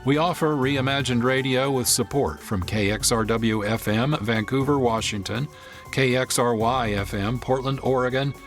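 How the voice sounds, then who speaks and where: Mid-pitched male announcer over soft music. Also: moderate pace. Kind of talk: scripted credits narration.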